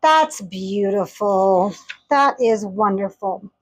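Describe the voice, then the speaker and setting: A woman's voice: medium pitch, lively intonation, slow pace. A woman reviewing a tarot deck in a casual, chatty way, gushing with enthusiasm and talking straight to her viewers. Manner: chatty, addresses viewers directly.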